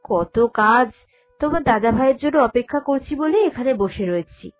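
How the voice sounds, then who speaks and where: A woman voicing a gentle, dutiful young village bride in a cartoon, speaking in a considerate, accepting way. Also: a little high, expressive, moderate pace. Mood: busy, demurring. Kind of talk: cartoon character dialogue. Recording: music behind the voice.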